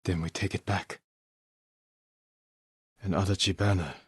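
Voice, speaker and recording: A stoic samurai warrior, speaks in a low voice with a husky edge. The delivery is measured, solemn and restrained, with a determined, honorable bearing. The recording is close and dry. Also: male voice, steady tone, dry recording, exaggerated voice. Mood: quiet, brooding. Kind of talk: dramatic video game voice acting.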